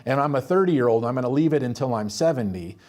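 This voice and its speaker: A man's voice: mid-range voice, animated, fast talker, a little mellow. A man, a financial advisor, explaining retirement tax planning steadily and walking through number examples.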